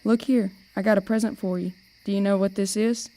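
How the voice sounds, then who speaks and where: A protective, teasing older sister , acted by a young woman in an amateur radio drama. Her voice is soft-edged and mellow, with a noticeable creak. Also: mid-range voice, steady tone, a little fast, lightly acted. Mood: playful. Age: teenager or young adult. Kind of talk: amateur radio play acting.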